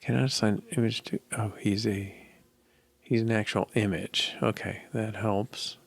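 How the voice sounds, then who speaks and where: A middle-aged man thinking aloud as he codes, musing and halting as if muttering to himself, in casual commentary. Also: lowish voice, fairly even intonation, gravelly. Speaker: programmer live-coding. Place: indoor room at a desk.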